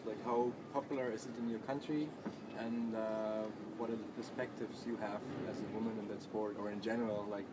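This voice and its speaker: Man moderating amid loud background noise. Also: lowish voice, flat delivery, brisk. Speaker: panel moderator. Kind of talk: informal moderating of a discussion. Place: live panel discussion at a sports festival. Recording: very noisy event, vehicle sounds behind.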